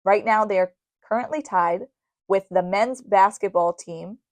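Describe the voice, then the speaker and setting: A woman's voice: medium pitch, a little slow, no echo. A woman hosting a sports radio show, giving commentary and recaps in an emphatic way, repeating phrases to drive points home. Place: radio studio.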